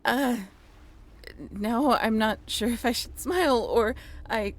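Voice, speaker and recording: A woman playing a shy, flustered young former college roommate in a roleplay audio drama, speaking in a halting, bashful, conversational way. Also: fairly high pitch.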